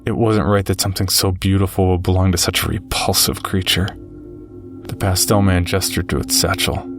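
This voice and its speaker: A man playing a narrator who recounts a frightening encounter with a monster in measured, grave, scripted first-person storytelling. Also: low-pitched, fairly even intonation. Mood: grave, uneasy. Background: music.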